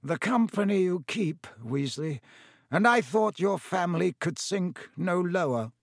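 A man voicing a cold, sneering aristocratic villain with a slow, disdainful drawl. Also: sits high, lively intonation, no room sound, clean studio sound, pitched above the performer's own voice, full character voice.

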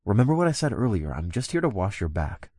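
A man's voice: lowish voice, sing-song, fast talker, warm tone, breathy edge, no room sound, clean studio sound. A man voicing a protective, caring male werepanther with an earnest, firm manner in an audiobook. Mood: firm warning. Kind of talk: acted audiobook dialogue.

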